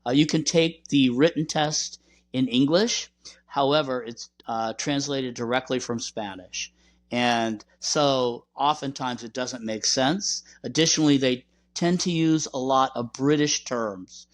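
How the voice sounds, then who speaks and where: A man hosting a podcast, explaining things in a measured, conversational way. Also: mid-range voice. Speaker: podcast host.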